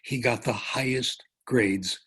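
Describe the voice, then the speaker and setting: An older man giving a conference lecture, telling anecdotes in a relaxed way with asides and self-corrections. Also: mid-range voice, takes it slowly, slightly rough. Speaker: professor giving a conference talk. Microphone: remote talk over a conference call.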